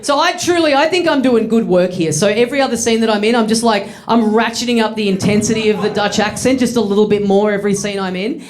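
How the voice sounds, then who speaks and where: A man's voice: sits high, quick pace. A man in his thirties with an Australian accent, telling a self-mocking stand-up story to a laughing live audience. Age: 30s. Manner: wry, self-mocking, acts out voices. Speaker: stand-up comedian. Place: comedy studio with live audience.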